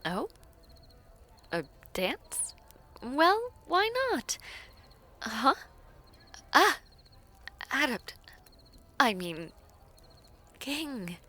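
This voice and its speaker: A woman playing a poised, teasing, affectionate villainess princess in a fantasy romance audio roleplay, with formal courtly phrasing and a breathy, often near-whispered delivery. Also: high voice, very animated, extremely slow, long pauses, sharp tone, full character voice. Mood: flustered, polite.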